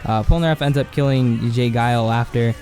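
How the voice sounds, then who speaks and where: A man giving a casual anime commentary, rambling and slangy with lots of filler words, his voice hoarse and cracking. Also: medium pitch, fairly quick, darkish tone, faint music behind. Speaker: video essay host.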